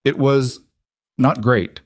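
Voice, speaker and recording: A man's voice: medium pitch, steady tone, takes it slowly, no room sound, pitched above the performer's own voice. Man voicing a guarded, weary woman executive. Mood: guarded, candid. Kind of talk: dialogue in a narrated story.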